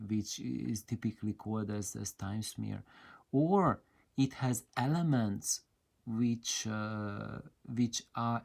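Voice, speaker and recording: A man giving an unscripted technical explanation as a presenter, with a hesitant, halting delivery full of drawn-out fillers. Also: on the low side, darkish tone. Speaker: audio hobbyist presenter.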